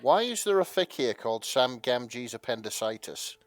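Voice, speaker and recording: A man with a voice of middling pitch talks as one of a podcast panel. His delivery is that of casual chat among friends. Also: big pitch swings, normal speed, faint music behind.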